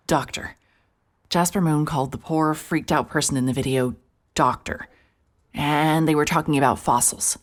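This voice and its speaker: An actress playing a hard-bitten, wary private investigator, terse and sardonic, in a horror audio drama with noir-style narration. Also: female voice, deep voice. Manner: muttering to self, wry, terse. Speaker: lead character and narrator.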